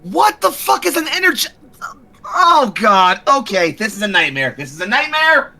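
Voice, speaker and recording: A man doing a shrill panicked human woman. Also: expressive, moderate pace, bright, forceful tone, breathy edge, full character voice. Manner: alarmed, swearing, shrill.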